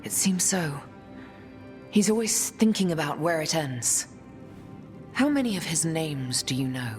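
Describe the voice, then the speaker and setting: An actress playing a grave, weary noblewoman leader in a fantasy video game, speaking in a measured, solemn way. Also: low voice. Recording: long reverb, music behind.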